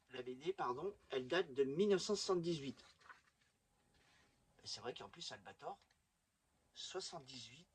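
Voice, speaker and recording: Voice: medium pitch, slight background noise. A YouTube host casually showing off a collection in a chatty, rambling, enthusiastic fan's way. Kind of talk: casual collection show-and-tell.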